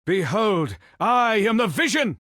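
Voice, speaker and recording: A man playing a calm, noble android superhero in a video game, speaking in formal, precise, stilted robotic phrases. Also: high voice, slow, dry recording, studio quality, heavily acted. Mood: bold, triumphant. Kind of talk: video game character voice lines.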